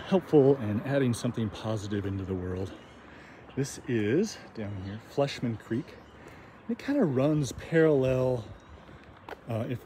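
A man narrating casually while walking through town outdoors, rambling and halting with many ums and restarts. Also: medium pitch. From United States. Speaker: walking tour vlogger. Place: outdoors on small-town streets. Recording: outdoor street noise and wind. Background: street ambience, passing vehicles.

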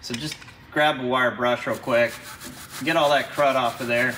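Guy narrating his garage repair how-to, plain matter of fact voice, medium pitch. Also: steady tone, normal speed, a bit bright, slight background noise, rough recording. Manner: plain, practical, instructive. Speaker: car restoration video host.

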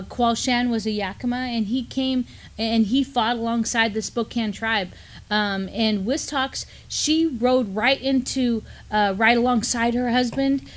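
A middle-aged woman with an American accent explains local news and history conversationally as a co-host in a small studio. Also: on the high side. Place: small indoor studio, seated at a desk.

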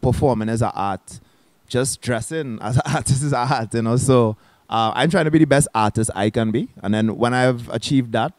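A young Trinidadian man speaks in a relaxed, reflective way, sometimes restarting his sentences and dropping local slang. His voice sits in an ordinary middle pitch, and the sound is dry and close. He is holding a handheld mic in a small lounge set. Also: moderate pace, no room sound. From Trinidad and Tobago. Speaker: guest musician. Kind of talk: casual interview chat.

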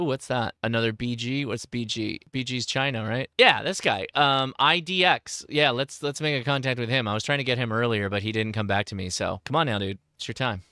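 A bright, forward-sounding American man in his forties presents in a casual, enthusiastic way. He is close on a studio microphone in a dry room, like an informal host at his desk. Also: medium pitch, no echo. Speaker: host, ham radio operator. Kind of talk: casual explainer and radio operating. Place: indoor home radio desk. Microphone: close boom studio microphone.

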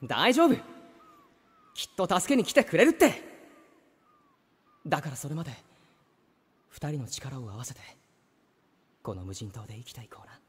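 An actor playing an excitable, doting young man in a theatrical Japanese anime-style audio drama. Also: on the high side, moderate pace, pauses often, husky, long reverb tail, full character voice. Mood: relieved, reassuring. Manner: theatrical, stammering when flustered. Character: excitable, melodramatic young man, doting older-brother type.

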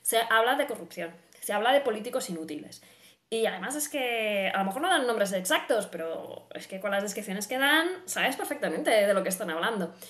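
A woman's voice: mid-range voice, animated, a little fast, fairly bright tone. Effusive woman from Spain, roomy sound. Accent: Spain Spanish. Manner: effusive, colloquial, repeats words for emphasis. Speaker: book reviewer. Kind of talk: casual spoken book review to camera. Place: small room at home, indoors. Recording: roomy, echoing home recording.

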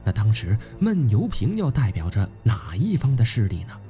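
An actor playing a young male tomb adventurer who narrates an audio drama in an uneasy, suspenseful, expressive way. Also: fairly low pitch, moderate pace. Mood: puzzled, frustrated. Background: background music.

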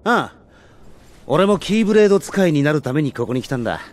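Cocky, animated young rival. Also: male voice, on the high side, quick pace. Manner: brash, offhand, grumbling. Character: cocky, casual red-haired young man rival. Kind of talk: Japanese game cutscene acting.

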